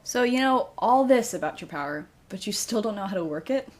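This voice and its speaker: A woman's voice: medium pitch, lively intonation, fairly quick, lightly acted. A woman playing a playful, bossy, direct character in a naturalistic audio drama. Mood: direct, probing. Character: playful, bossy, teasing woman who loves singing.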